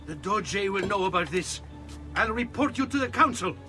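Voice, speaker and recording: A man's voice: high-pitched, animated, moderate pace. An actor playing an indignant, spluttering male market merchant in a video game. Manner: outraged, spluttering. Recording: music behind the voice.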